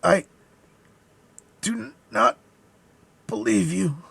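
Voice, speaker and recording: A man voicing a dying, poisoned soldier who accuses bitterly in strained, drawn-out, anguished outbursts, in an audiobook story. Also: high-pitched, vocal fry, fairly bright tone, husky, dry recording, voice pushed up, heavily acted. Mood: agonized cursing.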